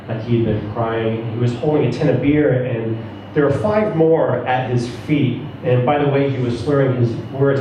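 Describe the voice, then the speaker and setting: A man reads a first-person short story aloud with a dark, mellow tone. His voice rings heavily around the room and sounds set back from the microphone. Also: mid-range voice, muffled recording. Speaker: story reader at a live reading. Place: echoey room, away from the mic. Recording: roomy, echoing, distant mic, faint noise.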